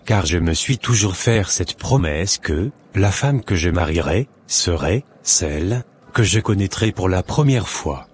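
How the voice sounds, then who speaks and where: A man voicing a deep-voiced pious young man. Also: lively intonation, husky, full character voice. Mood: earnest. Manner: earnest, measured, pious.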